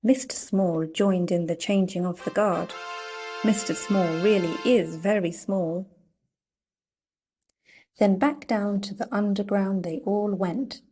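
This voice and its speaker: A woman reading a children's story aloud in a playful, deliberate storytelling manner. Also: mid-range voice, warm tone, faint music behind. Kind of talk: read-aloud storybook narration.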